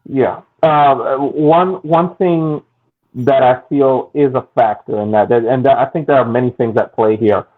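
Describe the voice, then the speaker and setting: A man's voice: medium pitch, unhurried. Man on a tinny phone-like line. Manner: long analytical explanations with frequent restarts. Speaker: podcast co-host. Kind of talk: casual sports chat.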